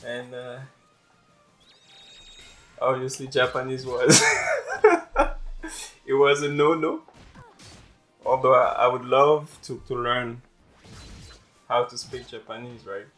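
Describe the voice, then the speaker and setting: Man, French native, slow deliberate pace, rambling game commentary, faint music behind. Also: medium pitch, fairly even intonation, fairly bright tone. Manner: chatty, rambling, repeats words. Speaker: gaming channel host. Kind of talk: casual gameplay commentary.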